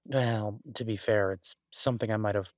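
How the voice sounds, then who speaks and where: A close, dry recording of a man acting as a restaurant chef and devoted boyfriend in an audio roleplay. His voice sits on the low side, and he talks casually and haltingly, stammering into restarts, exasperated yet affectionate. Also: steady tone, dry recording, close to an everyday voice. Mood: exasperated, musing. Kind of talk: intimate boyfriend roleplay, one-sided casual dialogue.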